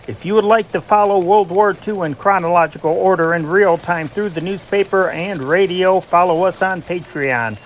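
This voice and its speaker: A man's voice: on the high side, fairly bright tone, slight background noise, rough recording. A man reading scripted news dispatches in a formal, declarative newscast manner, punching the datelines. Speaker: narrator reading old newspaper dispatches.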